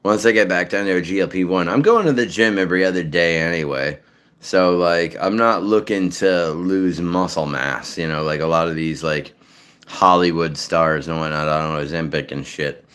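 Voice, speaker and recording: Low voiced massachusetts guy rambling casual, lots of likes and ums, swears a lot. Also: moderate pace, a bit bright, clean tone. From Massachusetts, North Shore. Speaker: voice actor. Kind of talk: casual livestream chat.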